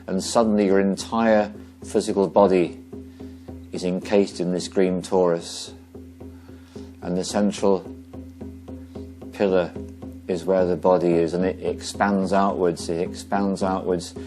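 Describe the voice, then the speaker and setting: A man leading a guided meditation slowly and gently, pausing between short phrases. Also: low voice.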